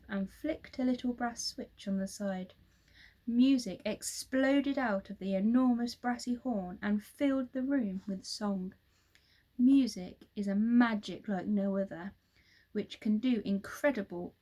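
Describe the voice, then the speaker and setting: Woman teacher, storybook read-aloud, unhurried and expressive, a bit breathy. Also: a little high. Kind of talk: children's storybook reading.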